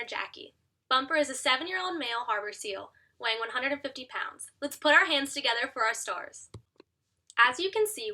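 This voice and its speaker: A woman's voice: sits high, sharp tone. A young adult woman giving a scripted educational show presentation, speaking as an upbeat presenter to an audience. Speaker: student giving an audition presentation.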